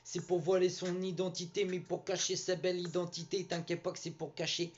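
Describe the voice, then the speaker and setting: A man's voice: high-pitched, level tone, a little fast, dull audio. A man from Brittany rapping a rambling, repetitive, boastful freestyle over a beat. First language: French. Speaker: rapper. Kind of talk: freestyle rap.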